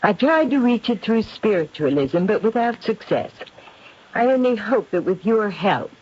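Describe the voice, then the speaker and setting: A woman's voice: mid-range voice, expressive, moderate pace, a little mellow, breathy edge. An actress playing a self-assured aristocratic lady psychic, speaking in a dramatic, imperious manner in an old radio drama. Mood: grave. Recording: muffled 1970s radio recording.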